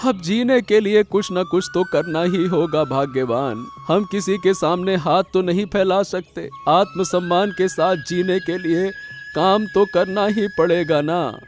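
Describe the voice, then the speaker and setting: A man's voice: high voice, a little slow. A man playing a poor, bereaved village farmer in a Hindi cartoon story, with earnest, emotive, folksy delivery. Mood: resolute, consoling. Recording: music playing behind the voice.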